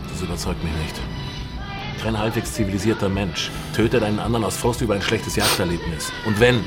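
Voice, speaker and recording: An actor playing a skeptical investigator in a radio drama, questioning in a probing, doubting and insistent way. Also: male voice, on the low side, fairly quick, over loud music, close to an everyday voice. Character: skeptical male investigator pressing for answers.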